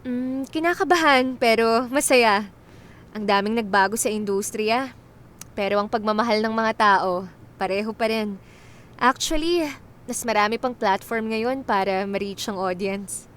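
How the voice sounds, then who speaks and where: A woman acting in a Filipino radio drama as a contented former teen star turned doctor, conversational and gently teasing. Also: fairly high pitch, unhurried, smooth. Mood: composed, sincere. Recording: faint music behind the voice.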